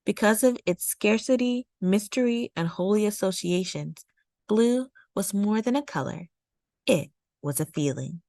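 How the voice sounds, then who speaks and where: A woman narrating a children's nonfiction picture book as a storytime reader, with measured, deliberate phrasing. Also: medium pitch, expressive, mellow, dry recording, studio quality. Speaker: storytime read-aloud host.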